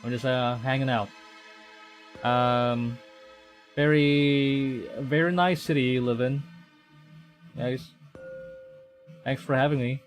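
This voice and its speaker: Male game streamer, mid-pitched, jokey and chatty, faint music underneath. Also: fairly even intonation, takes it slowly, long pauses. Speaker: streamer reading a visual novel aloud. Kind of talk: playthrough commentary with improvised replies to the characters.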